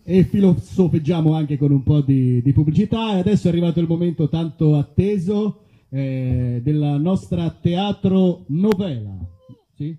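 A man's voice: a little high, expressive, moderate pace, highs cut off. A man presenting a live comic show, linking the segments as host.

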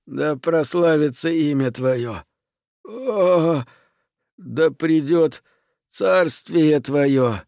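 A man's voice: on the high side, darkish tone, dry recording, clean studio sound, pitched above the performer's own voice. Man playing a stern dying old man. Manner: barks curt orders, then prays through pain.

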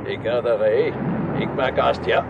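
An actor playing a chatty, grumbling foreign taxi driver in a radio drama, speaking broken sentences with a foreign accent. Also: male voice, medium pitch, steady tone, measured pace. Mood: impatient grumbling. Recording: muffled radio play recording with clear noise.

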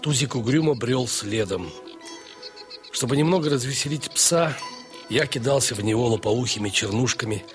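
An actor playing a playful, warm-hearted dog owner who tells his own story in a theatrical, expressive audio dramatization. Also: mid-range voice, moderate pace, soft background music, close to an everyday voice. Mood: wistful. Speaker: narrator and dog's owner in a story. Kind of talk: dramatized first-person story narration.

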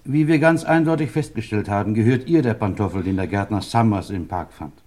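An actor playing a brisk, formal police officer in a radio crime play, official and correct in manner. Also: male voice, fairly low pitch, a little mellow, a little hiss or hum, lightly acted.

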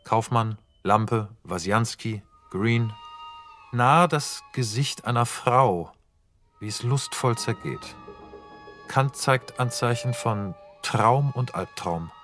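A man reads the stage directions for a radio play in a steady, measured way. His voice sits at an ordinary mid pitch, and he describes each scene plainly. Faint music plays underneath. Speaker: narrator reading stage directions. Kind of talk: scripted narration.

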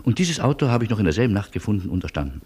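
Husky, wry refugee philosopher. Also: male voice, on the low side, very animated, a little mellow, full character voice. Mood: ironic storytelling. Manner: deliberate, pointed, ironic reasoning. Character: wry, anxious, philosophising middle-aged refugee with old-world courtesy. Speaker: lead character and narrator. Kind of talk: theatrical radio play acting and narration.

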